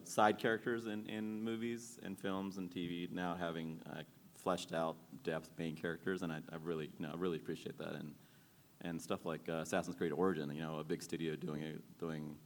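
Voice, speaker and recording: A man in his forties, a game studio founder, talking casually on a panel with lots of fillers and self-deprecating humor. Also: low voice. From born in Sri Lanka, raised in Maryland. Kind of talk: panel discussion.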